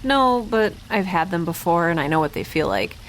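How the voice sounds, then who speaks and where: An actress playing a stubborn, rattled young woman scientist with a head injury, halting and conversational in an audio drama. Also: on the low side, animated, slight background noise, lightly acted, low-quality recording. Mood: dazed, wry. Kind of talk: naturalistic audio drama acting.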